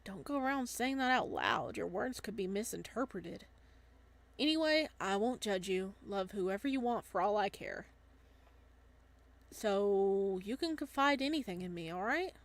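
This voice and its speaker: A woman's voice: mid-range voice, animated, a little slow. Woman doing a casual, teasing young guy. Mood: teasing, supportive. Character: casual, teasing young male best friend, loyal. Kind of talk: visual novel dialogue reading.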